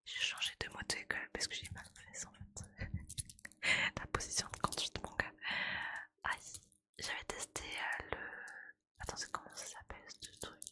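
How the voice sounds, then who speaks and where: A woman's voice: normal speed, long pauses. A woman whispering breathily in a casual, playful ASMR chat, with slangy, colloquial speech as she streams to her viewers.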